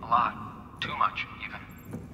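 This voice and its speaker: A man acting a subdued, reflective character with a measured, quiet delivery, in a video game cutscene. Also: close to an everyday voice. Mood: somber, resigned. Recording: echoey long reverb, noisy vehicle ambience.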